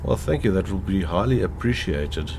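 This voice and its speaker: Easygoing male streamer with an Afrikaans accent, deep low register, unhurried delivery, steady engine and road noise underneath. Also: gravelly. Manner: easygoing, unhurried, pauses with frequent fillers. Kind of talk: casual livestream chat. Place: home, seated in a chair.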